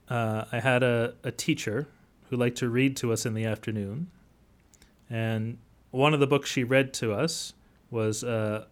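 A man speaking as an academic guest in an interview, explaining at length in a halting, thoughtful way. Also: mid-range voice. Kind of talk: interview conversation.

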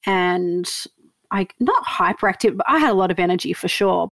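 A woman's voice: fairly low pitch, moderate pace, creaky, dry recording. Laughing Australian woman in her fifties. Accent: Australian with a slight Canadian tinge. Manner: animated storyteller, laughs often, self-mocking. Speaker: guest. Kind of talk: casual interview chat.

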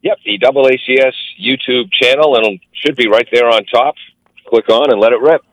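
A man's voice: medium pitch, fairly quick, fairly bright tone, poor audio. A man in his sixties, a sports broadcaster and radio host, chatting casually with a wry, joking manner. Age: 60s. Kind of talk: casual chat.

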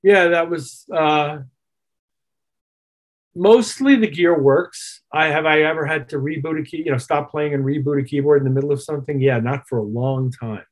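A man with a husky voice talking as a musician guest in an interview, measured and reflective as he recounts his career. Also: on the high side. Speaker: musician guest, touring keyboard player. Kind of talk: interview chat, telling career anecdotes.

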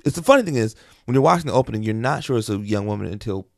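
A man's voice: lowish voice, expressive, rapid-fire, gravelly, no echo, clean studio sound. A man co-hosting a review show in casual conversation. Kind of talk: casual chat.

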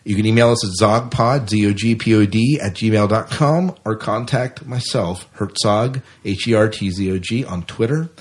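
A man's voice: on the low side, moderate pace, hardly any pauses, breathy edge. A man co-hosting a podcast in casual, rambling chat, often repeating his phrases. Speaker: podcast co-host.

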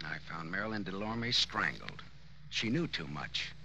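A man's voice: deep voice, very animated, a little slow, creaky, bright, forceful tone, slight background noise, full character voice, low-quality recording. An American man acting a wry, sardonic private detective in a 1940s radio noir drama. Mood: accusing. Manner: sardonic, wisecracking. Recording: old radio recording with music.

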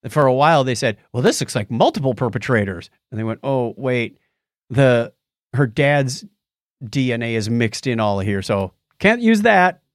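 A man's voice: medium pitch, big pitch swings, normal speed, dry recording, clean studio sound. A man co-hosting a podcast, chatting casually about shows and retelling things in a conversational way with quoted asides. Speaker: podcast co-host. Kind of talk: casual chat reviewing TV shows.

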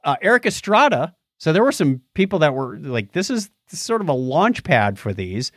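Middle aged guy on a podcast, rambly casual review chat, really lively pitch, no room echo. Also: fairly quick, clean studio sound. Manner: rambling, groping for names. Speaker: podcast co-host.

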